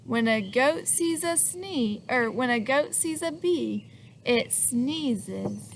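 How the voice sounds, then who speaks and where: A woman reading a children's picture book aloud in an animated way, stopping to ask the listener questions and acting out the sneezes. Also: a little high, takes it slowly, slight background noise.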